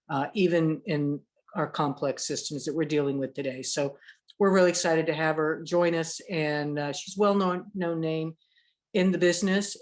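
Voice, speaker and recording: Halting, enthusiastic man announcing a speaker. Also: fairly high pitch. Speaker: meetup co-host.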